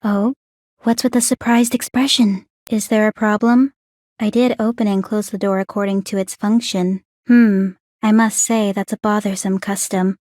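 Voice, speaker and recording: A woman's voice: medium pitch, flat delivery, moderate pace, darkish tone, dry recording, studio quality, close to an everyday voice. A woman playing an aloof, bookish young warrior maiden in a video game, speaking in a composed, formal, precise and detached way. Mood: cool, curious. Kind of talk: English video game voice acting.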